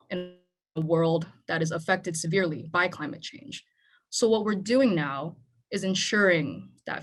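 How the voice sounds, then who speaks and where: A young woman from the Philippines, Tagalog her first language, gives a panel talk. Her voice sits a little low, and she speaks earnestly, often checking in with "right?". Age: young adult. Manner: earnest, conversational, with frequent "right?". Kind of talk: speech at a panel event.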